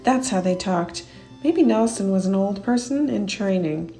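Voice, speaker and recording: A woman's voice: medium pitch, lively intonation, a little slow, mellow. A woman reading scripted children's story narration aloud. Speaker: storybook reader. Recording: music behind the voice. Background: music.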